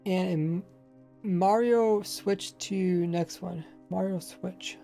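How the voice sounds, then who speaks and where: Gravelly, mellow-voiced male streamer chatting. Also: high-pitched, steady tone, slow, soft background music. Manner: rambling, admits mumbling and lazy pronunciation. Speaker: Minecraft streamer. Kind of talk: casual livestream chat while playing.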